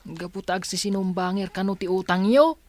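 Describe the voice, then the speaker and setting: A woman's voice: mid-range voice, brisk, a little mellow, dry recording, natural voice. A woman playing an earnest child talking to a mother in a Philippine radio drama. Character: farm wife's child, earnest, reporting gossip.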